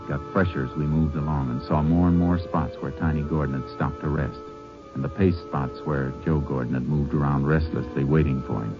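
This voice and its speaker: Very deep, laconic Texas Ranger. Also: male voice, steady tone. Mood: measured narration. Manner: measured, laconic, matter-of-fact. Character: calm, seasoned male Texas Ranger narrating his manhunt. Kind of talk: 1950s radio drama acting and narration. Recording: muffled vintage radio recording with music.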